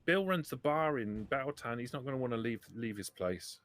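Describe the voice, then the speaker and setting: A man acting as game master, improvising narration and answers for a tabletop roleplaying game in an animated way. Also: mid-range voice, a little fast, no echo. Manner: animated, talks in character.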